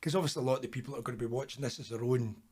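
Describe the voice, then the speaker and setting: A man's voice: mid-range voice, fairly even intonation, very fast, a little mellow, husky. A man hosting a podcast interview, chatty and friendly, with frequent restarts. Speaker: podcast host. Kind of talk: casual interview.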